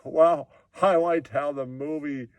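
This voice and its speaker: A man's voice: a little high, steady tone, takes it slowly, darkish tone, no room sound. A man voicing a dim-witted puppet movie critic, breaking up phrases with repetitive grunting chuckles. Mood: excited, giggly.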